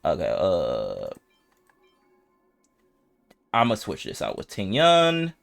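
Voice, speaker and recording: Low-voiced man, lively gameplay commentary. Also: takes it slowly, gravelly, faint music behind. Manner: informal, slangy, thinking aloud. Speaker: video game streamer.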